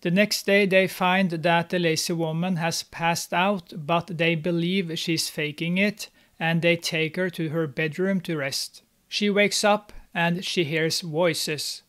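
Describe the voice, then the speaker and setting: A young man with a fairly high voice narrates a film recap, steady and scripted. His tone stays snarky and mocking as he pokes fun at the characters. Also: slow pace, hardly any pauses. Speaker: movie recap host. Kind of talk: sarcastic movie recap narration. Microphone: studio mic, on camera.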